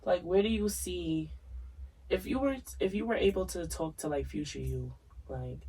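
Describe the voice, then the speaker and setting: A raspy man with a congested voice, co-hosting a casual podcast and asking probing personal questions between laughs. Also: high voice, moderate pace, warm tone, distant mic, poor audio. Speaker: podcast co-host.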